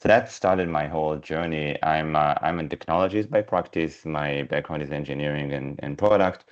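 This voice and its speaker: A man with an Israeli accent, a startup founder pitching his company over a video call, halting with many fillers and restarts. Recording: dull lo-fi video call audio.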